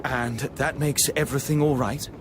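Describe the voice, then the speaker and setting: An actor playing a proper, earnest gentleman in a mystery game, polite but easily flustered. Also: mid-range voice, fairly even intonation, moderate pace, background noise, close to an everyday voice. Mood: indignant. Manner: earnest, polite, hesitant under questioning. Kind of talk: video game voice acting.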